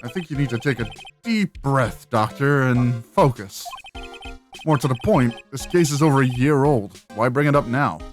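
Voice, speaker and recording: Someone playing a dry, weary male lawyer, pitched down. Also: lively intonation, moderate pace, soft background music, natural voice. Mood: dry, measured. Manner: dry, understated, hesitant. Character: weary, sardonic young male defense lawyer, hesitant and dry.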